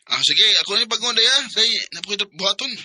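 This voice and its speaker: A man's voice: high voice, animated, quick pace, sharp tone, dry recording, full character voice. A man acting in a Bisaya radio drama as an eager, sweet-talking young working-class boyfriend, with a Filipino Bisaya accent. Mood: upbeat.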